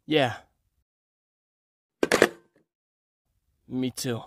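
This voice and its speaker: A man's voice: medium pitch, fairly even intonation, measured pace, heavy background noise, close to an everyday voice. A young man playing an anxious, earnest superhero bridegroom who stammers, in an amateur comic dub. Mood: hesitant, subdued. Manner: hesitant, stammering.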